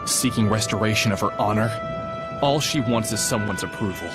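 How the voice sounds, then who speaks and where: An actor playing a gruff, brooding, hard-bitten fighter in a video game, blunt and terse. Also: male voice, fairly low pitch, fairly quick, lightly acted. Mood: grim, cold. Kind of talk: dramatic video game voice acting. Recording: loud music over the voice.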